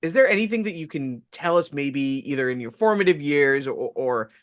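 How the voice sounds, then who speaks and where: Man host, slightly high voice, dry close mic; articulate and reflective. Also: animated, fairly quick, no echo. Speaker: podcast host. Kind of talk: casual interview.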